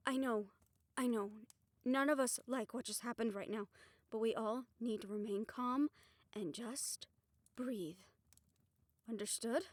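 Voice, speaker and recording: Woman playing a steady, higher-voiced young hunter. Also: unhurried, dry recording. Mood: urgent, calming. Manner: calming, practical. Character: level-headed young woman hunter, steady caring leader. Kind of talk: dramatic audio roleplay acting.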